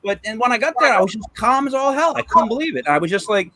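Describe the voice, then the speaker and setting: A man's voice: very high-pitched, very animated, fast, bright, forceful tone, a little hiss or hum. A man co-hosting a podcast, chatting casually and telling stories with lots of fillers. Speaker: podcast co-host. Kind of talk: casual chat.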